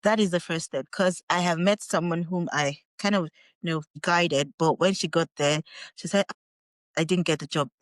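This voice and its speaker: A woman's voice: fairly low pitch, moderate pace, no room sound. Nigerian woman, dry close podcast mic. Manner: laughs often, relaxed storytelling. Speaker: guest, English teacher in Japan. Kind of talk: casual podcast interview.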